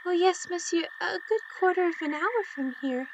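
A woman playing a timid eight-year-old servant girl in a radio drama, polite, halting and deferential. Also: extremely high pitch, lively intonation, highs cut off, background noise. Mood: meek.

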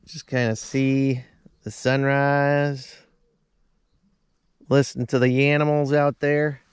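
A man's voice: mid-range voice, little pitch movement, takes it slowly, clean tone, no room sound, studio quality. A man in his thirties chatting casually to camera in a laid-back, easygoing way from inside a small tent outdoors. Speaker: camping vlogger. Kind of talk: casual vlog chat to camera.

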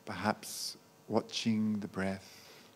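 A man guiding a meditation as a teacher, speaking gently in short, unhurried phrases with long pauses. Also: fairly low pitch, level tone, a little hiss or hum. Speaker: meditation teacher, Buddhist monk. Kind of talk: guided meditation.

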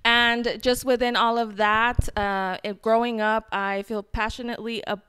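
A woman's voice: on the high side, little pitch movement, a little slow, fairly bright tone, clean tone. A woman turning thirty, talking earnestly and reflectively on a podcast, a little rambling, into a handheld mic on a couch. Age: 20s. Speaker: podcast co-host. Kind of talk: casual chat. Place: living room, on a couch.

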